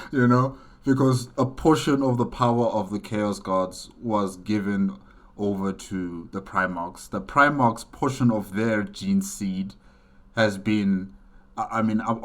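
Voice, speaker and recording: Male reaction host, low voice, unhurried, casual, rambling, musing repetition. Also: a bit bright. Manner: rambling, repeats phrases musingly. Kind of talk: casual spoken commentary.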